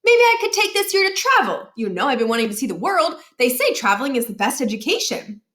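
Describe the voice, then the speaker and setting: A woman's voice: high-pitched, very animated, fast talker, a bit bright. A woman voicing a young schoolboy prankster who pleads eagerly and persistently in a children's storybook. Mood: wheedling. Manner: wheedling, persistent. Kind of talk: children's storybook read-aloud.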